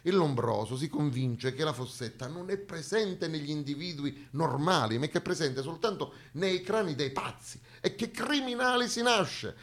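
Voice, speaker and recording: A man's voice: fairly high pitch, expressive, moderate pace, hardly any pauses, a bit bright. A man performing a satirical theatre monologue, lecturing mock-seriously with ironic asides to his listeners.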